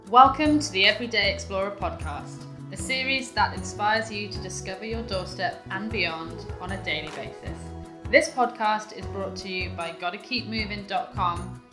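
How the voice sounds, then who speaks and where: A woman's voice: medium pitch, normal speed, music in the background. A British woman hosting a podcast, chatting eagerly and laughing easily with her guest. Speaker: podcast host. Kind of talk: casual interview chat. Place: indoors in a home.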